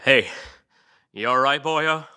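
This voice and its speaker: An actor playing a wry, needling interrogator in an audio drama, dry, taunting and colloquial in manner. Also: male voice, mid-range voice, slow pace, sharp tone, clear, resonant tone. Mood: mock-friendly. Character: wry, needling male interrogator, mock-friendly. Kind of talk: audio drama table read.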